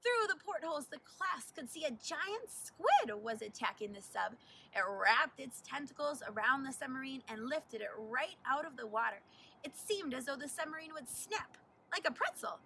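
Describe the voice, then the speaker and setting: A woman narrates a children's picture book in a high, bright voice with animated, deliberate delivery. She is a little far from the mic, with faint noise behind her. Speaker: teacher reading aloud. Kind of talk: expressive children's storybook narration.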